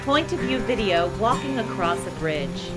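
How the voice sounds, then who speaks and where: A woman telling stories to young children outdoors in a park, with expressive, simple phrasing. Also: mid-range voice, moderate pace, over loud music. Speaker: children's storyteller, host. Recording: outdoors with faint wind noise.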